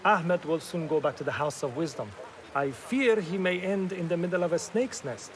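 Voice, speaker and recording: A man's voice: fairly high pitch, moderate pace, background noise, close to an everyday voice. An actor playing an earnest, dutiful male scholar spy in a video game. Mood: worried.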